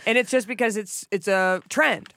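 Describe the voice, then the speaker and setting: A woman's voice: medium pitch, normal speed, fairly bright tone. A woman with a Texan accent, a guest on a studio podcast, chatting in a brash, breezy, playful way. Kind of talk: casual chat.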